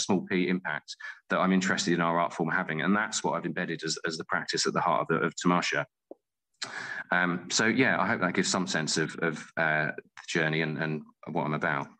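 A low-pitched British man speaks as an interview guest. His tone is bright and forward, and he is earnest and articulate, often reworking a sentence as he thinks it through. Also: fairly even intonation, a little fast, low-quality recording. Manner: earnest, articulate, thinking aloud with self-corrections. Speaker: interview guest, playwright and theatre company director. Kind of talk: conversational interview answer, reflective.

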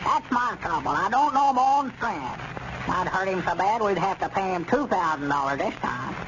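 An actor playing a meek but boastful, excitable old man with a folksy rural Southern US accent, dithering in radio comedy style. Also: high-pitched, normal speed, music in the background. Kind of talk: 1940s radio comedy acting. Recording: noisy, muffled old radio recording.

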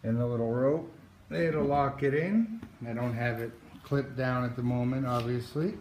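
A man from New Hampshire giving a casual, halting walkthrough into a handheld phone, with many pauses and restarts. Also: mid-range voice, reverberant, background noise. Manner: slow, halting delivery with many uh's and restarts. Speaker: outdoors vlogger. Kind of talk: casual show-and-tell walkthrough. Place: garage, then outdoors by a driveway. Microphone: handheld phone camera, moving around.

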